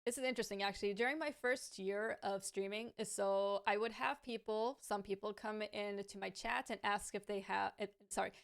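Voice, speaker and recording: A woman with a smooth, clear voice talks casually to camera, answering viewer questions. She stammers often, repeating sounds and restarting words, and stays thoughtful and frank with light laughs. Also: mid-range voice, steady tone, normal speed, hardly any pauses.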